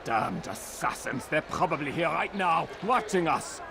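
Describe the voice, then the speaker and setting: An actor playing a paranoid, hot-tempered military commander in a video game, accusing and barking in a theatrical way. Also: male voice, on the high side, big pitch swings, unhurried, vocal fry, full character voice. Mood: suspicious, angry. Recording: outdoor crowd noise and clamour.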